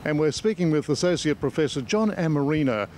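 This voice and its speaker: A man's voice: on the high side, expressive, a little fast, a little mellow. A man working as a news reporter, interviewing people on location. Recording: slight background location noise.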